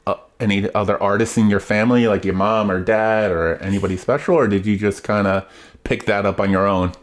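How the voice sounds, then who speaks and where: Deep-voiced stammering male podcast host. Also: steady tone, brisk. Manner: stammering restarts, frequent short backchannels and laughs. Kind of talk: podcast interview.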